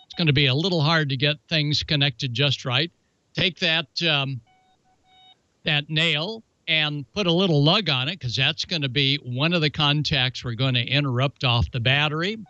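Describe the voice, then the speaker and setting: A man's voice: on the high side, normal speed, pushed and bright, no echo, muffled recording. A man hosting a hobby show, talking through announcements and hands-on instructions in a folksy, chatty, playful way. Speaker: ham radio show host. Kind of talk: casual hands-on how-to demonstration with announcements.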